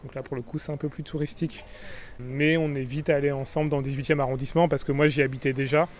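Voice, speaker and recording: A man's voice: medium pitch, level tone, fast talker. Man in his thirties, casual. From France. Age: 30s. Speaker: interviewee.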